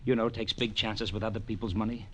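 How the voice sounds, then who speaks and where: Man playing an anxious, low-voiced accountant. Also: level tone, lightly acted. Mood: apologetic. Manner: earnest, nervous, halting delivery. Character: anxious, pleading male accountant in serious trouble. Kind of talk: 1940s radio drama acting.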